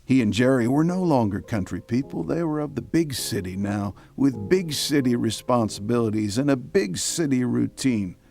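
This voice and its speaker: A man's voice: medium pitch, expressive, creaky, darkish tone. A man giving scripted, wry narration and hosting a radio drama. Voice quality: wry, measured. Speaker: narrator and host.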